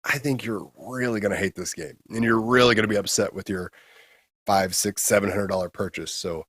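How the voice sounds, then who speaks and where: A man's voice: on the low side, brisk, fairly bright tone, slightly rough, no room sound, clean studio sound. A man co-hosting a retro gaming show, giving blunt, emphatic opinions in casual talk with some crude slang.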